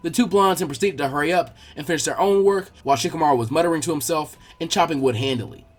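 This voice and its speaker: A man reading fan fiction aloud as an expressive story narrator. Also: fairly high pitch, fast.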